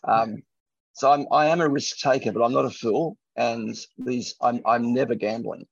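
Gravelly, muffled man telling stories. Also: medium pitch, steady tone, normal speed, dry recording. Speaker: entrepreneur panelist. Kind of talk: conversational panel storytelling. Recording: lo-fi, muffled audio.

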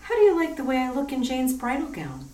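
A woman playing a playful, sharp-witted detective's wife in a retro radio play, with a coy, bantering delivery. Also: high voice, huge pitch swings, brisk. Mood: flirty. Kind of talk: 1940s radio acting. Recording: echoey room, far from the mic, faint hiss.

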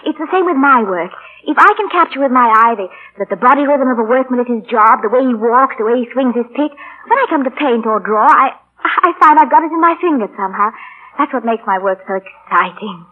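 A young woman acting in 1940s radio style as a self-assured artist, brisk, bantering and teasing. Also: fairly high pitch, expressive. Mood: animated, bantering. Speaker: radio actress. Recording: tinny, band-limited 1940s radio broadcast.

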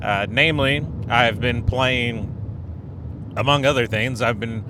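A man hosting a casual solo podcast, rambling, correcting himself and laughing at his own jokes, his nose and throat still stuffy after a cold. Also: a little slow. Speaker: podcast host. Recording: noisy with background rumble.

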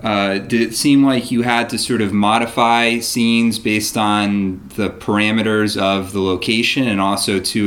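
A man with a fairly low voice hosts an interview, asking long and earnest questions. He winds his way through them with small fillers and fresh starts as he goes. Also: fairly bright tone. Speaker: interviewer host. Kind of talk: interview conversation.

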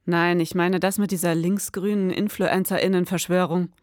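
An actress playing a prim young investigator in an audio drama, speaking in precise, formal, matter-of-fact sentences. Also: female voice, fairly low pitch, steady tone, moderate pace, a little mellow, lightly acted. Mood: analytical. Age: young adult. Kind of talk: scripted audio drama acting and narration.

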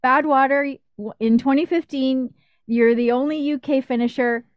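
Woman cohost, high bouncy voice, slow, dry dull mic. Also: fairly even intonation, clean tone, no echo. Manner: short reactions, laughs often. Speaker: podcast co-host. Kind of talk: casual chat.

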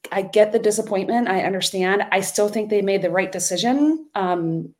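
A woman's voice: medium pitch, moderate pace, darkish tone. A woman co-hosting a podcast, telling a story in casual chat with many ums and restarts. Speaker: podcast co-host.

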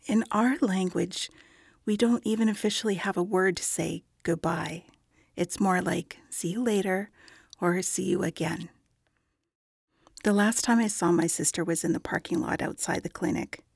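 Creaky-voiced, gentle young woman. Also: on the low side, moderate pace, dry recording, studio quality. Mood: wistful. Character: gentle peacemaking young woman Polly, grieving her sister. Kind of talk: audio drama acting.

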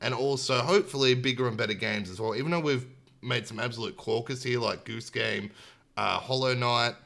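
A man's voice: mid-range voice, a little fast, vocal fry, sharp tone. A man with an Australian accent hosting a gaming podcast in a casual, informal, jokey way. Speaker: podcast host. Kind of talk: casual gaming podcast chat and news rundown.

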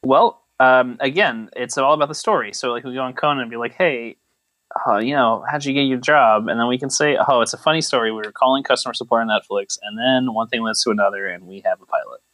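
Very fast-talking man riffing on a podcast. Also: mid-range voice, a bit bright, no echo, low-quality recording. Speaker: podcast host. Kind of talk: casual comedic banter.

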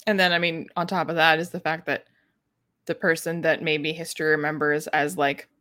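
A woman with a fairly low voice, recorded close and dry, co-hosts a book podcast. She explains things analytically and conversationally, with a wry touch. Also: little pitch movement, moderate pace, pushed and bright, no echo. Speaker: podcast co-host. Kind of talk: casual book discussion.

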